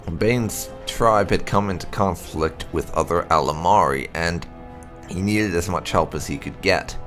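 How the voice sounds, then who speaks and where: A man with a gravelly voice reading fantasy game lore aloud in a measured, solemn storytelling manner. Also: low-pitched, a bit bright, faint music behind. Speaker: gaming channel host.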